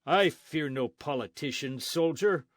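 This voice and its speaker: A deep-voiced old friar in his sixties speaks with stern, fearless defiance, as a man puts him on. The sound is dry and close. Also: measured pace, no room sound, natural voice. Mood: defiant. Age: 60s.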